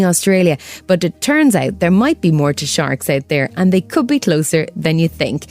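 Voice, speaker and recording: A woman's voice: low voice, a little mellow. A woman hosting a news podcast, reading scripted stories and putting questions to guests.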